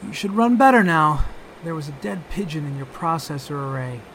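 An actor playing a fussy, protective gadget inventor in a spy spoof, earnest and theatrical. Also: male voice, on the high side, normal speed, fairly bright tone, a little hiss or hum, poor audio. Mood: soothing, indignant.

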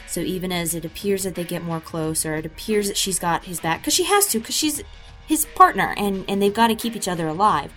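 A woman's voice: fairly low pitch, animated, fairly quick, fairly bright tone, faint music behind. A woman who voices a character in an audio drama, answering interview questions in a thoughtful, rambling way with many fillers and restarts.

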